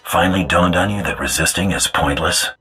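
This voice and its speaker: A very deep, gritty bounty hunter. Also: male voice, a little fast, bright, forceful tone, breathy edge, faint music behind, heavily acted. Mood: menacing. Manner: terse, blunt, threatening. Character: cold, menacing male bounty hunter for hire. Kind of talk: dramatic video game voice acting.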